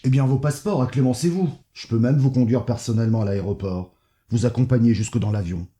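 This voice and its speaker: A man's voice: mid-range voice, fast, mellow, natural voice. A man playing a smug, condescending, corrupt mayor in an audio drama, patronising and coolly menacing. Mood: smug, persuasive. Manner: patronising, cajoling. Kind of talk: French audio fiction acting.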